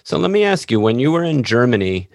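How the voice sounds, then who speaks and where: A man's voice: medium pitch, very animated, quick pace, gravelly, darkish tone, no echo, studio quality. A man hosting an interview podcast, asking follow-up questions and dropping in short affirmations. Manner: brief backchannel affirmations. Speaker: podcast host.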